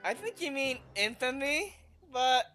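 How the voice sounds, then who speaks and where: Casual female game streamer, higher-pitched, wry and teasing, faint music behind. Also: expressive, a little slow, a bit bright. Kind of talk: casual gameplay commentary.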